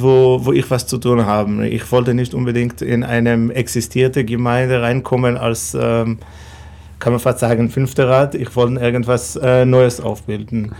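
A man in his thirties with an Israeli accent answers interview questions in careful, non-native German. His voice has a gravelly, creaky edge, and he sits at an ordinary middle pitch. He pauses often to search for words. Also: darkish tone. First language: Hebrew. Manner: halting, many fillers, non-native phrasing. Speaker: rabbi.

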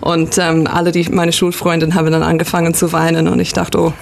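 A woman's voice: lowish voice, completely flat delivery, quick pace, creaky, a little hiss or hum. A woman from Australia with an Australian English accent, a native English speaker chatting modestly as a radio interview guest. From Sydney, Australia. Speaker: guest, singer.

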